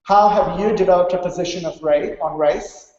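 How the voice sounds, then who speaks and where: Conference speaker, male, from India, higher-pitched but mellow, didactic delivery, heavily reverberant hall recording. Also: normal speed. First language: English. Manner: didactic, stresses key points with check-in tags. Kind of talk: conference presentation. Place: large reverberant conference room. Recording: very echoey hall, dull muffled sound.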